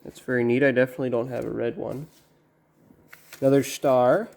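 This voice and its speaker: A man giving casual, halting unboxing commentary as a collector, musing to himself in a low-key way. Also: fairly even intonation, gravelly, darkish tone. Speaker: record collector presenting an unboxing.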